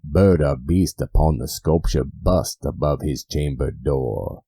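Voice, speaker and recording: A man with a gravelly voice giving a theatrical, brooding recitation of gothic poetry as an actor. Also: very deep voice, dark tone, no echo. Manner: theatrical, brooding, deliberate phrasing. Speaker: actor reading a poem aloud.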